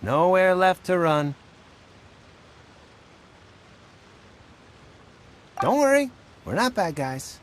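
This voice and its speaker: An actor playing a smarmy, wheedling small-time thug boss in a video game, theatrical and pushy. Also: male voice, high-pitched, sing-song, a little slow. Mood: smarmy, coaxing.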